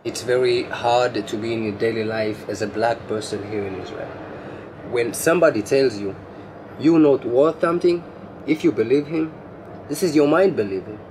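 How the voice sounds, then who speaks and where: A man speaks in an interview with emphatic conviction, his words pressing harder as he goes. His voice is mid-pitched. Faint noise sits under the recording. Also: normal speed, a little mellow, rough recording. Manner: emphatic, building in force.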